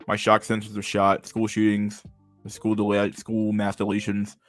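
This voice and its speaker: A fairly low-voiced young man with a stammer and a slight lisp rambles to his stream chat, stalling on words and cursing often. Quiet music plays faintly behind him. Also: monotone. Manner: halting, restarts words often; swears freely. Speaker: livestream host reading chat. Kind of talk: casual livestream chat.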